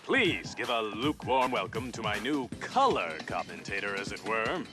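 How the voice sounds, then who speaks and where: Pompous, wildly swooping game-show-style host. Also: male voice, lowish voice, sing-song, normal speed. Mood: grudging, sardonic. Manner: theatrical, pompous, sneering. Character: flamboyant, smug, sardonic male TV racing show host. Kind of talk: showy video game cutscene acting. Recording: music behind the voice.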